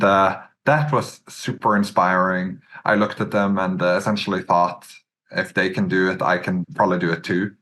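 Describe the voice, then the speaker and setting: A Norwegian man in his thirties with a Norwegian accent, a podcast guest telling his career story in a measured way, with many "uh"s and "um"s. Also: low-pitched, fairly bright tone, a little hiss or hum. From Norway. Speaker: podcast guest, search fund entrepreneur. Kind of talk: relaxed interview answers.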